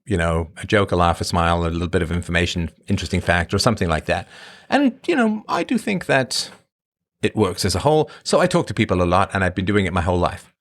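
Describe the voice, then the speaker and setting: A man's voice: big pitch swings, quick pace. A middle-aged man from Ireland and England, rambling casually as a host answering his live chat. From born in Ireland, grew up in England. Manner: rambling, conversational, emphatic swings in pitch. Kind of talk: casual monologue.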